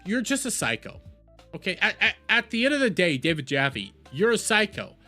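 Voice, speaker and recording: A man's voice: high-pitched, very animated, normal speed, pushed and bright, soft background music. A man hosting a reaction video, giving heated, casual opinion commentary in an argumentative, exasperated and mocking way, repeating phrases for emphasis.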